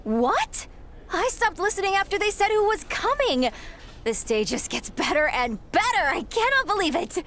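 A woman's voice: extremely high pitch, normal speed, a little hiss or hum, exaggerated voice. Giddy wrestling superfan girl. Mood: overjoyed. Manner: giddy, gushing, breathless excitement. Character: starstruck young female wrestling superfan.

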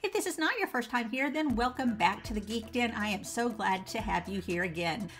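A woman in her forties hosting a running vlog, talking to camera from her living room, her voice still rough from the flu, upbeat and stressing key words. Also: a little high, expressive, fairly quick, fairly bright tone, faint music behind. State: recovering from flu, voice a bit rough. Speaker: running channel host. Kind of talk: scripted vlog talk to camera. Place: living room with bookshelves at home.

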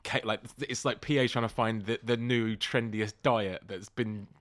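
A man's voice: on the low side, steady tone, normal speed, a bit bright, no room sound. A man co-hosting a comedy podcast, rambling through casual, sweary riffs. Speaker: podcast co-host. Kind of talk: casual comic banter.

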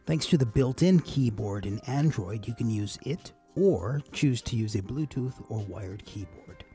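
A man giving a casual, measured instructional voice-over as an amateur radio operator hosting a tutorial. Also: medium pitch, expressive, mellow, faint music behind.

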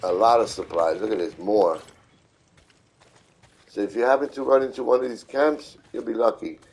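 A middle-aged man giving casual, unhurried live game commentary, repeating himself as he explains. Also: on the low side, fairly even intonation, vocal fry, darkish tone. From New York City, United States. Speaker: video game streamer.

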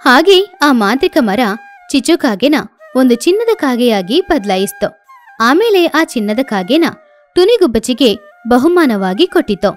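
A woman narrates a children's story in a high, swooping voice. Her scripted delivery is animated, and music plays beneath it. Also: moderate pace.

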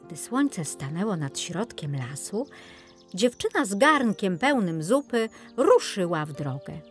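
A woman narrating a scripted children's fairy tale in a measured storytelling manner. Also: medium pitch, sing-song, faint music behind. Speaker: audiobook narrator.

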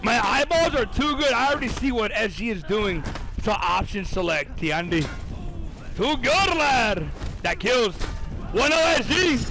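A young man commentating a live game tournament and chatting with viewers, excitable and profane, losing his voice. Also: very high voice, creaky, low-quality recording. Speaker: streamer casting a tournament.

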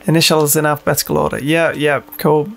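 A man's voice: on the high side, little pitch movement, fast, creaky, a bit bright. A man hosting a gaming video with casual, jokey, sweary commentary, muttering to himself and reading on-screen text aloud. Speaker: gaming video host playing a horror game.

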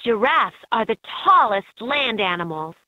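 A woman's voice: fairly high pitch, sing-song, unhurried, dry recording. A woman reading scripted narration as the guide in a children's learning game, recorded as lo-fi toy audio. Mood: instructive.

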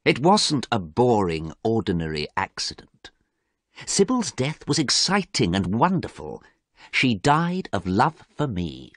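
A man voicing a vain, callous young gentleman with a haughty, emphatic, theatrical manner in an audiobook story. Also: on the low side, huge pitch swings, measured pace, no echo, clean studio sound, pitched above the performer's own voice, full character voice. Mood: angry, cold.

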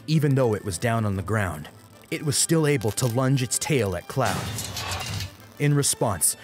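A man reading a dramatic action story as an audiobook narrator. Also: mid-range voice. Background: music under the voice.

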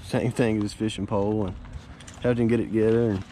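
Low-voiced man chatting outdoors. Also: steady tone, fast talker, background noise. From Florida Panhandle, US. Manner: easygoing, rambling, coaching kids between asides. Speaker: fishing charter captain. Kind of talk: casual outdoor vlog talk. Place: outdoors by a neighborhood pond. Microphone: wearable action camera.

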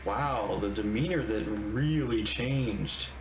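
A man's voice: fairly low pitch, slow, soft background music, poor audio. A man in his thirties reading dialogue aloud as he streams a game playthrough indoors. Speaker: streamer reading the player character's lines.

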